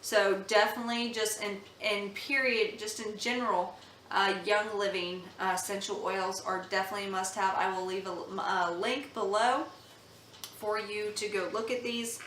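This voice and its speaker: Brief: young mom vlogger, bright, chatty, earnest, recorded a bit off-mic in an echoey room. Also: female voice, medium pitch, steady tone, moderate pace, far from the mic, a little hiss or hum. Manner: chatty, earnest, with many ums and restarts. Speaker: mom vlogger reviewing baby products. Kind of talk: casual product review chat. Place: home room.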